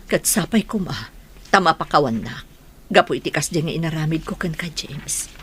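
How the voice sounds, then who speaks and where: A woman's voice: deep voice, expressive, moderate pace, fairly bright tone, a little hiss or hum. A woman with an Ilocano accent playing a remorseful estranged wife in an audio drama.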